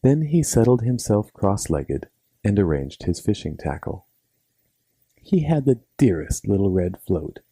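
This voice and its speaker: Male storyteller for children's bedtime tales: low, gravelly, scripted narration, recorded dry. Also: big pitch swings, unhurried, warm tone, no room sound.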